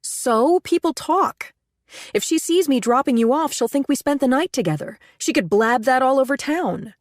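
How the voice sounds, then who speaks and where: Woman narrator doing a pleading, anxious wife, pitched up, tense, dry audiobook read. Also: animated, normal speed, no echo. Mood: alarmed. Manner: pleading, strained. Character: anxious middle-aged woman, defensive, rattled.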